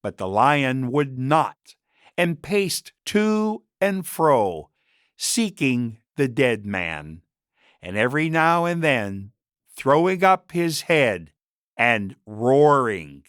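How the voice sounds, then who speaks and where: A man reading a story as a narrator, in a measured and expressive scripted style. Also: mid-range voice, smooth, no echo, clean studio sound.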